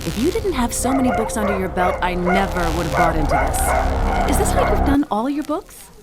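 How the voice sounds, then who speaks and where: An actress playing a blunt, impatient book editor, stern, exasperated and demanding, in a radio drama. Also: female voice, medium pitch, big pitch swings, a little fast, very noisy, natural voice. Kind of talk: modern radio drama dialogue.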